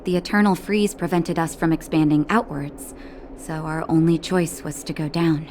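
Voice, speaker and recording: An actress voicing a calm, caring woman doctor in a video game, speaking in a gentle, reassuring way. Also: low voice, moderate pace, a little hiss or hum, lightly acted. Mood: gentle, serious. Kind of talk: scripted game dialogue. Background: music.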